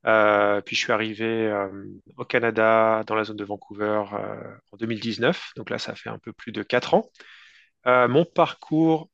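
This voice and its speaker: A man's voice: lowish voice, steady tone, moderate pace, creaky, fairly bright tone, no echo, muffled recording. A man in his thirties from France with a French accent, answering interview questions in a casual, hesitant way with many fillers and restarts. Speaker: guest entrepreneur.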